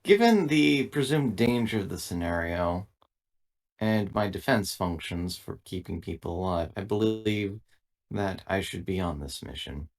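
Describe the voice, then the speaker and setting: A man voicing a polite, dutiful female android in a tabletop game, speaking in formal, literal, measured phrases. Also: low-pitched, lively intonation. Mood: calm, dutiful.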